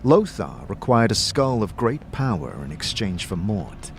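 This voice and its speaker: A man giving grave, expressive dramatic narration of a fantasy story. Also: fairly low pitch, unhurried. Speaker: narrator, also voicing the player character. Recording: music behind the voice.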